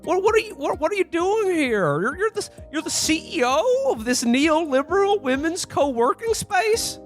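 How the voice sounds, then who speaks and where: A man's voice: very high voice, very animated, moderate pace, faint music behind. A man playing a frantic, pleading character who stammers and repeats himself in a radio play parody. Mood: frantic disbelief. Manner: stammering, breathless repetition, pleading. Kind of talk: old-time radio play melodrama parody.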